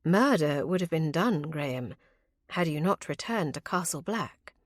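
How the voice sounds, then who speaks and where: A composed, caring young lady of a historical audiobook, voiced by a woman narrator. The speech is formal and gently firm, lifted higher than the narration, and dry. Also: animated, measured pace, no echo. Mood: reassuring, earnest. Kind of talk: audiobook character voice.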